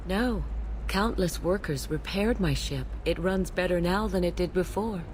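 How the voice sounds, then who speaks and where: A woman voicing a solemn, devoted female alien messenger in a video game, speaking in an earnest, calm and reverent way. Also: lowish voice, a little slow, a little hiss or hum, lightly acted. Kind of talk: scripted video game dialogue.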